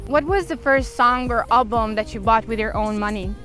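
A woman with a slightly high voice asks brief interview questions. Faint music runs behind her as she moves through them one at a time. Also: moderate pace, pushed and bright. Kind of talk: reading short interview questions.

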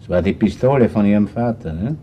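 An actor playing a shrewd, unhurried Viennese police inspector in a radio crime play, with an Austrian accent. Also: male voice, lowish voice, lively intonation, lo-fi sound, slight background noise, lightly acted. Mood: calm, understanding. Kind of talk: naturalistic radio crime drama acting.

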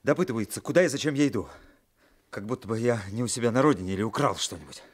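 Weary, indignant intellectual wanderer played by a male actor, medium pitch, articulate, radio drama. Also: lively intonation, racing, lightly acted. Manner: formal, articulate. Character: weary, indignant educated traveller, commander's brother. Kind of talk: Soviet radio play acting.

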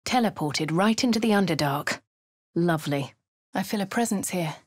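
A woman voicing a posh, refined female adventurer in a video game, with a crisp, well-spoken English manner. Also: fairly low pitch, expressive, normal speed, no room sound, studio quality. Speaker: player character voice lines. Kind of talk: game voice lines and narration.

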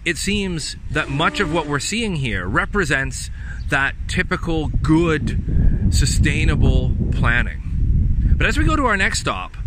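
Man explaining on a noisy street. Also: on the high side. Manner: measured, explanatory, with occasional restarts. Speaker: urban planning professor. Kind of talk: walking tour explanation. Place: outdoors on a city street. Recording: outdoor street with traffic noise.

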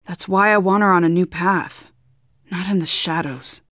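A woman voicing a spirited, stubborn, kind-hearted young ninja hero in an anime game dub, earnest and expressive. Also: on the low side, normal speed, no room sound, studio quality, lightly acted. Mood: sorrowful, reflective.

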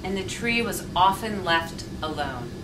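A woman's voice: lowish voice, lively intonation, a little slow. Woman reading a storybook aloud, roomy sound. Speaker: volunteer story reader. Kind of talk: children's storybook read-aloud. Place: indoor sitting room with leather sofa. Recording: roomy, distant mic, faint noise.

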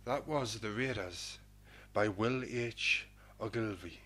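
Husky, slow-spoken man reciting poetry. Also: fairly low pitch, pushed and bright. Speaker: poetry programme host and reader. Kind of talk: poetry recitation, dramatic reading.

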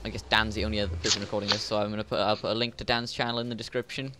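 A man's voice: on the low side, level tone, racing, heavy background noise. A man chatting casually and joking with friends while recording himself playing a game. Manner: joking, laid-back banter. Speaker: gamer recording his channel. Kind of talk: casual gaming chat with friends.

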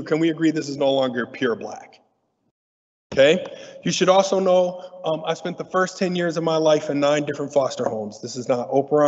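A middle-aged man from Detroit tells stories from a podium in a large auditorium, playful and animated as he keeps asking the crowd to answer him. His voice rings with a long hall reverb. The recording sounds dull and muffled. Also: fairly high pitch. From Detroit, USA. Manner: animated, playful, talks to the audience. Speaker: keynote speaker. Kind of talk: storytelling keynote talk.

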